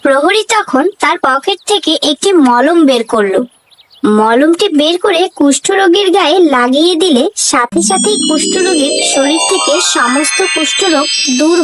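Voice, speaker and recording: A woman's voice: high-pitched, no echo. A woman narrating a children's cartoon fable in a scripted storybook style.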